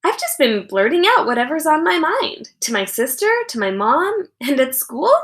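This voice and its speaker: A chatty young schoolgirl acted by a woman reading aloud, earnest and self-aware. The voice sits high, in a storybook style. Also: very animated, normal speed, a bit bright, full character voice. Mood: amazed realization.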